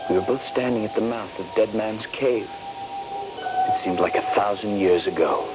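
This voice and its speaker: A man's voice: on the low side, huge pitch swings, moderate pace, music in the background. An actor playing a trapped, injured soldier who also narrates his ordeal in a vintage radio drama. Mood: somber narration. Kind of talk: radio drama acting and first-person narration. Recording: dull, noisy vintage radio recording.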